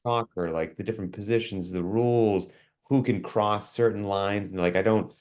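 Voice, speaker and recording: A man's voice: lowish voice, moderate pace, darkish tone. A man hosting an interview podcast, curious and affirming, asking his guest questions in a casual way. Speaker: podcast host.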